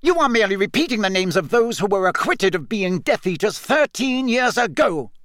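A man's voice: very high voice, sing-song, takes it slowly, sharp tone, dry recording, studio quality, pitched above the performer's own voice, heavily acted. A man voicing a short, pompous male government minister in an audiobook, blustering, indignant and panicky. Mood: affronted, angry. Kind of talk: audiobook character voice.

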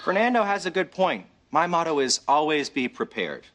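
A man's voice: on the high side, sing-song, normal speed, fairly bright tone, some chatter behind. An actor in a sitcom playing a goofy man who makes puns. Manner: goofy, punning. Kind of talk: sitcom acting. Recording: noisy sitcom audio with laughter.

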